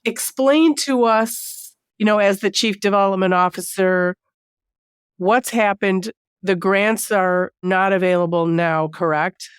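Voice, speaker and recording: Woman, podcast host, congested from a cold, earnest and concerned, smooth voice, dry sound. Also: medium pitch, a little slow, no room sound. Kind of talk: podcast interview.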